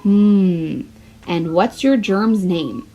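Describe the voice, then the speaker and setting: A woman's voice: medium pitch, extremely slow, warm tone, muffled recording, faint music behind. A woman voicing a friendly, official pet show judge in a storybook, with an announcing manner. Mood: curious.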